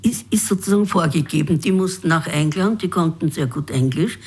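A woman's voice: deep voice, brisk, darkish tone, slightly rough. A woman from Vienna, a guest in an interview, recalling things in a searching way with frequent fillers. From Vienna, Austria. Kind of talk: reflective interview answer.

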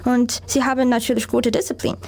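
High-voiced young woman reading formal answers. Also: level tone, brisk, creaky. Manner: careful, formal delivery with occasional hesitations. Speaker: student interviewee.

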